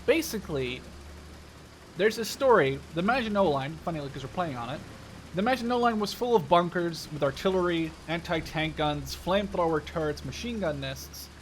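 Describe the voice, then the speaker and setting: High-pitched young man doing casual game commentary. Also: lively intonation, normal speed, slight background noise. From Netherlands. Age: 20s. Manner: informal, rambling, digressive storytelling. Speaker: gaming video host. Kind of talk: casual gameplay commentary with history asides.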